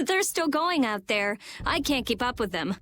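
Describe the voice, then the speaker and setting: A woman's voice: fairly high pitch, big pitch swings, a little slow, a bit bright. A woman playing a blunt, prickly teenage girl in a video game, with a dry, grumbling manner. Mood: grumpy.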